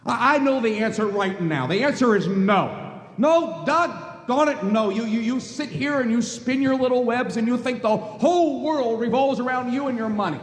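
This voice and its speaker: An actor playing an earnest, flustered small-town young husband in a live radio play, stammering and hesitant in a folksy way. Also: male voice, extremely high pitch, lively intonation, moderate pace. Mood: indignant. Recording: echoey hall, live stage.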